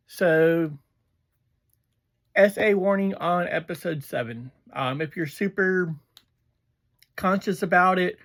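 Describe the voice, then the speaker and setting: A casual reviewer talking through an episode in a halting way, often pausing mid-sentence. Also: mid-range voice. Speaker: reviewer and host of a review series.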